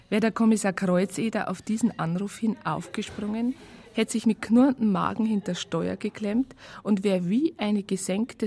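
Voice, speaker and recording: An actress playing a wry storyteller with a Bavarian accent, narrating a radio crime drama in a colloquial, unhurried way. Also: female voice, medium pitch, gravelly, a little mellow. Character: wry female storyteller recounting police case files.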